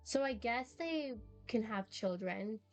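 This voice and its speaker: Woman game streamer, fairly high voice, casual, rambling, thinking aloud, game music behind. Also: lively intonation, takes it slowly. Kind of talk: casual live gameplay commentary.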